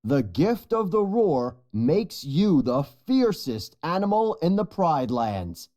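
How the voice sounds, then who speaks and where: A storybook narrator voicing an authoritative adult lion king and father in a serious, measured way. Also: medium pitch, sing-song, mellow, voice pushed up. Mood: proud, stirring.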